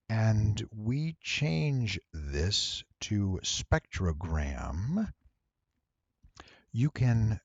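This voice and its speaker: Casting: male tutorial host, low and husky, slightly nasal, unhurried and playful, dry close recording. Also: dry recording, muffled recording. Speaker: voice actor giving a software tutorial. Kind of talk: casual screen-recorded tutorial.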